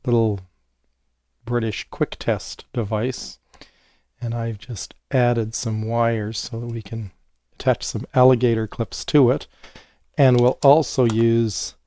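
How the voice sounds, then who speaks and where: Slow, hoarse man explaining a bench demo. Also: medium pitch, dark tone, no echo, studio quality. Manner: unhurried, explanatory, thinking aloud while working. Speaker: electronics educator. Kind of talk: hands-on technical demonstration. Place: home studio with monitors and workbench.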